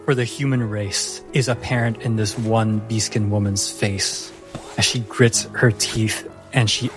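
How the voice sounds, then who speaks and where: Slow, dramatic male game master. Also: lowish voice. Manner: theatrical, deliberate. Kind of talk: dramatic narration of a tabletop fantasy game.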